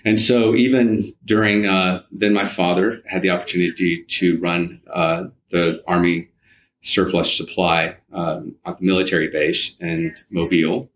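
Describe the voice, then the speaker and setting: A man's voice: low-pitched, level tone, a little slow, a little hiss or hum. A man, reminiscing conversationally as an interview guest, rambling and often rephrasing himself. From United States, partly Alabama and California. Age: 50s. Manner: rambling, circles back and rephrases.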